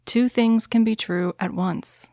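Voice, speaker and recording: A woman hosting a true crime podcast, reading scripted narration in a soothing, measured way. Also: on the high side, dark tone, dry recording, clean studio sound. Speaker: podcast host.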